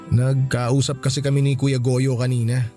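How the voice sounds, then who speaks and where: A man's voice: medium pitch, flat delivery, slow pace, a little mellow, natural voice. A man playing an apologetic, pleading young husband, hesitant and defensive, in a radio drama. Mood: nervous, hesitant. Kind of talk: Tagalog radio drama acting. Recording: music behind the voice. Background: music.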